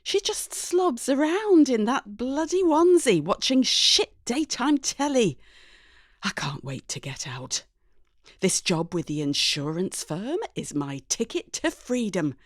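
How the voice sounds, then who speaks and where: A woman with a British accent reading a first-person story dramatically, with an expressive, wry, exasperated manner. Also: a little high, measured pace, no room sound, clean studio sound. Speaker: story reader.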